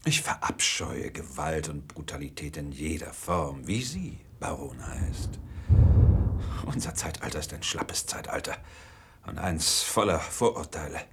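An actor playing a menacing, coolly polite gentleman villain in a German radio drama, with formal, deliberate and self-important speech. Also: a bass voice, expressive, gravelly, husky, full character voice. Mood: grandiose menace.

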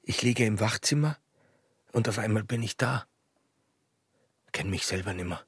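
Husky-voiced, brash border guard. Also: male voice, on the low side, normal speed. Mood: low, lovesick. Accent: Austrian. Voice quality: husky, rough. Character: brash, taunting border guard besotted with another's wife. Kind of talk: radio drama acting in dialect.

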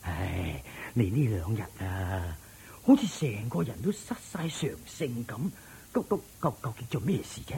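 An actor playing an anxious, deferential old family servant in a radio drama, with a raspy, creaky voice and a stammering, sighing way of talking. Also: male voice, medium pitch, very animated, measured pace, mellow, a little hiss or hum. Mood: coaxing, worried. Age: older.

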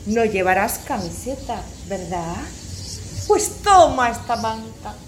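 A melodramatic young noblewoman in a comic radio play, her voice high and gushing with love. She declaims slowly and theatrically in an echoey room. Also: lively intonation, slight background noise. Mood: fretful, tender. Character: lovestruck, melodramatic young noblewoman, count's daughter. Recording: roomy echo.

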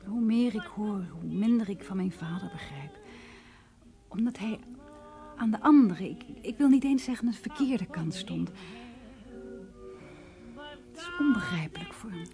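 An actress playing a tender, enraptured young woman in a radio drama, with a breathy, wondering way of speaking. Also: mid-range voice, lively intonation, a little slow, long pauses, dark tone. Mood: pensive. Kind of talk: naturalistic radio drama dialogue. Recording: radio play with music behind.

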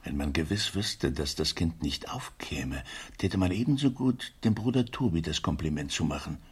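Irascible, scornful gentleman father for period radio drama; middle-aged, rough-edged voice with lively pitch swings. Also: moderate pace, full character voice. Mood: pondering, sceptical. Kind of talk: German radio play acting.

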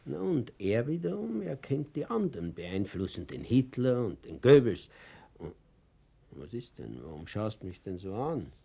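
Creaky-voiced, self-important old Viennese man. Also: medium pitch, very animated, normal speed, warm tone, heavily acted. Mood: earnest, confident. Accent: Austrian. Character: naive, self-important elderly Viennese schoolfellow of a Nazi leader. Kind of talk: radio drama dialogue.

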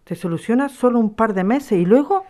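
A woman's voice: on the high side, expressive, brisk, warm tone, natural voice. A young woman playing a frank, insistent neighbour activist in an audio drama, speaking directly. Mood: concerned, firm. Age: young adult. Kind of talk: scripted audio drama acting.

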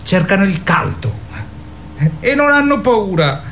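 A man playing a naive, earnest young boy who speaks in a halting, wondering, childlike way in a radio drama. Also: sits high, wildly swooping pitch, a bit bright, slight background noise, full character voice. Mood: dreamy, earnest. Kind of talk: theatrical radio drama acting.

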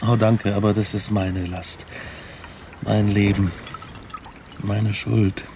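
An actor playing a despairing, guilt-ridden, exhausted man who hunts a monster, speaking gravely and wearily in a radio drama. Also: lowish voice, flat delivery, takes it slowly, noisy background. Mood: weary, anguished. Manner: grave, weary, anguished.

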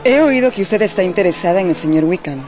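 A woman's voice: fairly low pitch, lively intonation, fast talker, darkish tone, soft background music, a faint crowd, close to an everyday voice. A woman playing a disagreeable, haughty young society lady in a radio drama, snobbish and cutting. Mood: condescending.

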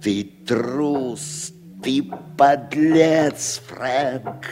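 A man's voice: medium pitch, drawn-out words, music in the background. A man acting a cowardly, hard-drinking playwright in a 1960s Soviet radio drama, theatrical, sarcastic and full of self-contempt. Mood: anguished, self-loathing. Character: cowardly, bitter, hard-drinking male playwright turning informer. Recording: reverberant 1960s radio play recording.

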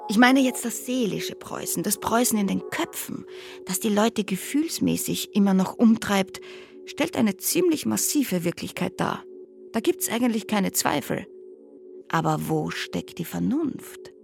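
An actress playing a reflective psychoanalyst in a radio drama, talking conversationally and asking herself questions. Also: female voice, medium pitch, expressive, a little slow, gravelly. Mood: reflective, wry. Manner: conversational, pondering, rhetorical questions. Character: reflective female psychoanalyst, musing wryly on history. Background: music.

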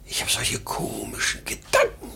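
A man's voice: normal speed, bright, forceful tone, natural voice. An actor playing an exhausted, rambling salesman past sixty, proud and erratic, in a vintage German radio drama. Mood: dreamy, troubled. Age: 60s. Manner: weary, rambling, given to boastful outbursts. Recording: noisy vintage 1950 radio recording.

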